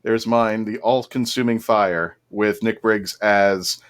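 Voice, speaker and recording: A man's voice: lowish voice, fairly even intonation, slow pace, a little hiss or hum. Enthusiastic man hosting a casual panel chat. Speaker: podcast host on a fan panel.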